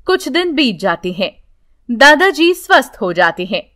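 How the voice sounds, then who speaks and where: A woman's voice: sits high, sing-song, measured pace, fairly bright tone. A woman narrating a scripted bedtime story for children as a storyteller.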